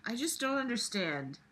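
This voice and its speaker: The voice is very bright and sharp, sitting at a middling pitch. It reads a book aloud casually, then reacts with exasperated, sarcastic commentary full of swearing. The delivery is animated and loose. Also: slow pace, rough recording. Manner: animated, breaks into mocking imitations.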